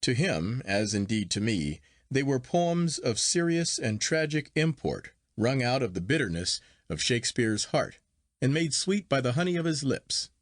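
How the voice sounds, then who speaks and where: A man reading a literary story aloud as an audiobook narrator, in a measured, formal manner. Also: mid-range voice, very animated, dry recording, clean studio sound.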